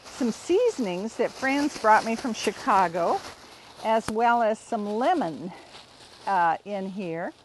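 A middle-aged woman giving a casual, unhurried cooking demonstration, explaining informally as she works outdoors. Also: mid-range voice, a little hiss or hum, rough recording. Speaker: home cook demonstrating a recipe. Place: outdoors in a backyard garden.

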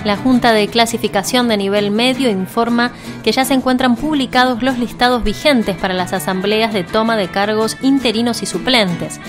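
A woman with an Argentine accent co-hosting a radio magazine show, moving between announcements and talk. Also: mid-range voice. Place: radio studio.

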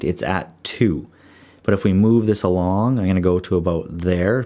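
A man explaining audio editing software in a steady screen-recorded tutorial voice-over. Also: deep voice.

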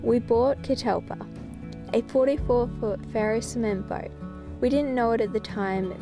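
Heartfelt teenage girl narrating over music. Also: mid-range voice. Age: teens. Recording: music underneath.

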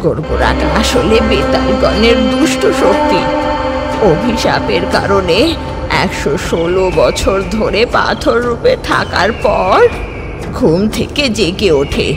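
A woman voicing a stern, wise old village sorceress who gives firm orders and tells dark tales. Loud music plays behind her. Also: medium pitch, sing-song, slow. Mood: storytelling. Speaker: healer of the story.

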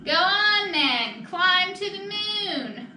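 A woman's voice: extremely high pitch, lively intonation, drawn-out words, pushed and bright, exaggerated voice. A woman voicing a skeptical, bossy raccoon in a picture-book read-aloud, doubting and emphatic, in an echoey room. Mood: challenging, emphatic. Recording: echoey room, distant mic, noisy.

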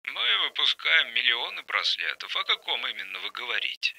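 A man voicing a curt, doubtful male production manager in an audiobook, speaking quietly and matter-of-factly. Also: medium pitch, sing-song, moderate pace, vocal fry, heavily acted, poor audio.

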